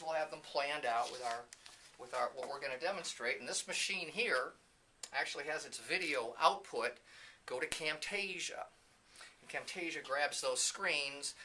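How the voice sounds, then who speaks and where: A man with a very bright, forceful, somewhat high voice gives an informal walking tour as a presenter. He talks off the cuff in a chatty, casual style, with light room noise behind him. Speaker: CEO and presenter of a training company, giving a tour. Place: indoors, walking through a video production facility.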